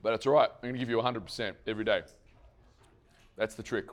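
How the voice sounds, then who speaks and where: A man losing his voice gives a lively fitness seminar to trainers and keeps asking the room questions. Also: on the low side, fast, slight background noise. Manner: energetic, asks the audience questions and answers them himself; swears casually. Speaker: fitness coach presenting to trainers. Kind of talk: live seminar presentation.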